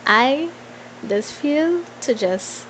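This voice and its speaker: A woman's voice: high voice, expressive, very slow, a bit bright, a little hiss or hum. A woman in her twenties with a Trinidadian accent chats informally and playfully to followers in a casual Q&A, thinking aloud as she goes. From Trinidad and Tobago. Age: 20s. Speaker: vlogger.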